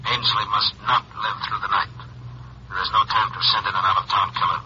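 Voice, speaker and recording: Commanding syndicate boss, cold, monotone, 1950s radio drama, muffled noisy recording. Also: male voice, fairly low pitch, brisk.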